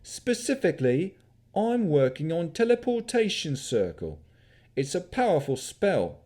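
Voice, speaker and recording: A man voicing a gangly, surly young male wizard with a rough British country yokel accent. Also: on the high side, very animated, a little slow, a little mellow, pitched above the performer's own voice. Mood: boastful. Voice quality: brash, rough-spoken.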